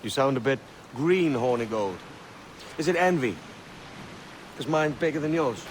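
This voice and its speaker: A male actor plays a brash young pirate captain in a game cutscene. He speaks with a Welsh accent at a middling pitch, full of cocky, salty banter. Clear sea and vessel noise sits behind the voice. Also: expressive, measured pace, lightly acted. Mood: confident. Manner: wry, bantering, seafaring turns of phrase. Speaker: ship's captain. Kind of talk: video game cutscene acting.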